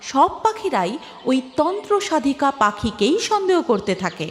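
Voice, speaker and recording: A woman's voice: high voice, very animated, normal speed, a little hiss or hum. A woman narrating a scripted cartoon story as a storyteller.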